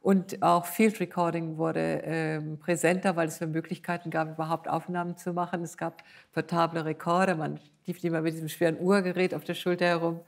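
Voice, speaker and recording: An older woman speaks reflectively as an interview guest. Her voice is creaky and stays within a narrow pitch range. She is thoughtful and hesitant, feeling her way toward the right words. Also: little pitch movement, a little fast.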